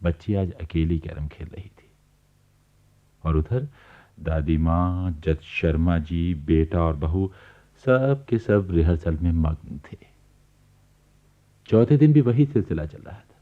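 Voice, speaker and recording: Radio play narrator: chatty neighbour, lowish voice, conversational address, thin phone-like archive recording. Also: male voice, expressive, slow pace, pauses often. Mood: amused, relating. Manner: conversational, addresses listener directly.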